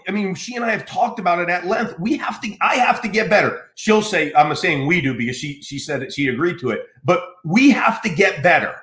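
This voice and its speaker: A man's voice: high-pitched, fairly bright tone, reverberant. A middle-aged American man hosting a podcast, talking earnestly in a casual interview with many restarts. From United States. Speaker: podcast host.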